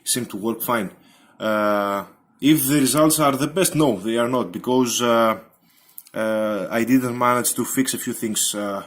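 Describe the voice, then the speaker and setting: A man giving an unscripted technical explanation as a hobbyist presenter, halting and hesitant, with many ums. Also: mid-range voice, fairly bright tone.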